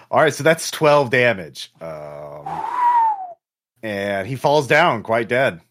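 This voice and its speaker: A man acting as game master of a tabletop role-playing game, talking conversationally in a halting, self-correcting way. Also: medium pitch, sing-song, fairly bright tone, slight background noise.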